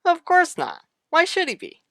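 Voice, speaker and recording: An actor playing a breezy, jovial uncle in a vintage radio soap, talking in a casual, folksy way. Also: male voice, very high voice, huge pitch swings, moderate pace, creaky, bright, forceful tone, no echo, heavily acted.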